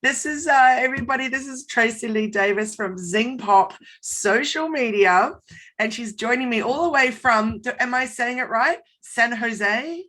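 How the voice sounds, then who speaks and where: A bubbly, enthusiastic woman, hosting a relaxed online chat. Her voice is bright and a touch croaky this morning. She talks over a video call. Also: fairly high pitch, moderate pace. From Australia. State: slightly croaky voice. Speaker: host. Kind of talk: casual live interview.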